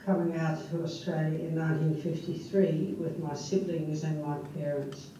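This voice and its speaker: An older woman, a native Dutch speaker from the Netherlands, reading her own poem in a measured, unhurried way. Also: low-pitched, flat delivery, mellow, slight background noise. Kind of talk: poetry reading. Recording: echoey, distant, dull.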